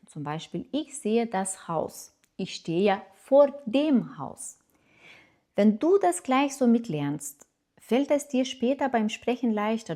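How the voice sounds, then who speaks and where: A middle-aged woman from Lithuania talks to camera in an encouraging, personal way, with a Lithuanian accent. She speaks at an ordinary pitch. Her hesitations and fillers give her the feel of a friendly teacher sharing her own experience. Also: warm tone. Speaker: German teacher. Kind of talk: casual talk to camera.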